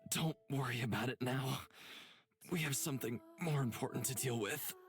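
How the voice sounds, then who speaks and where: A man playing a solemn, compassionate wielder of soul magic in a visual novel, speaking in a grave, measured, dramatic way. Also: mid-range voice, close to an everyday voice. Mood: resolute. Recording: music behind the voice.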